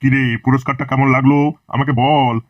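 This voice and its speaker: Cartoon role: a sly teacher played by a man; quick, sugary, muffled lo-fi recording. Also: mid-range voice, completely flat delivery, no room sound, lightly acted. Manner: sweet-talking, mock-kind. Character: sly schoolteacher who hands out beatings. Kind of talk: cartoon voice acting.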